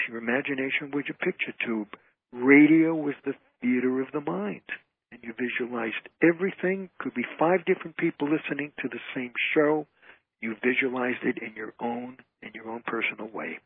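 A man's voice: medium pitch, slightly rough, no echo. An older man, a radio guest, chatting casually and repeating phrases for emphasis over a phone. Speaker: guest, entertainer and author. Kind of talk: casual interview chat. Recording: dry tinny phone line.

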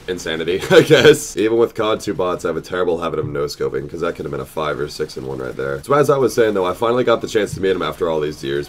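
A young man in his bedroom on a headset, doing casual, excitable, swearing commentary while gaming, still a bit nasal from losing his voice. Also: low-pitched, a little hiss or hum. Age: young adult. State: slightly nasal, recovering lost voice. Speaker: gaming YouTuber.

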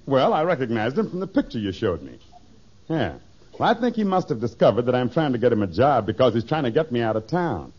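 Lively, polite businessman. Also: mid-range voice, a little fast, breathy edge. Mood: businesslike. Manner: animated, plain-spoken. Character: polite, chatty, businesslike man, someone's cousin. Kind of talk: 1950s radio sitcom acting. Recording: dull old radio recording.

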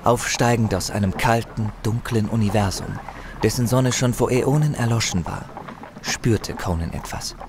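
A man's voice: fairly low pitch, moderate pace, background noise, natural voice. A man narrating a fantasy audio drama as a grave, intense storyteller, with music and effects behind him. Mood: grave, dramatic.

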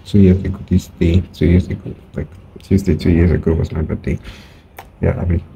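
Soft-spoken deep-voiced young man. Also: level tone, a little fast, creaky, a little hiss or hum. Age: late teens. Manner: soft-spoken, low-key and rambling. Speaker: vlogger. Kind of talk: casual vlog diary. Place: outdoors by a brick wall. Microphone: phone held at arm's length.

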